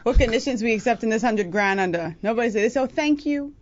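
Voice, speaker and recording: A woman's voice: a little high, lively intonation, a little fast, dull audio. Frank Bahamian woman co-hosting a talk show. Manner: frank, interjecting, laughing often. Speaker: co-host. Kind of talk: live talk show chat.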